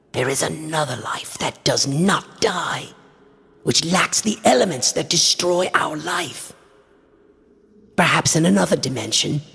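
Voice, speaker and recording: Frantic, theatrical occult scholar. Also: male voice, a little high, creaky, fairly bright tone, husky, heavily acted. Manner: theatrical, portentous, exclamatory. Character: frantic, obsessed male occult scholar, raving and awestruck. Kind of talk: radio horror drama acting.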